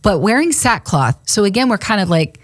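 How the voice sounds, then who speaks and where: A woman's voice: mid-range voice, big pitch swings, brisk, dry recording, studio quality. A woman co-hosting a faith podcast, chatting casually and laughing often as she retells a Bible story. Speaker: radio and podcast co-host. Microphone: studio microphone.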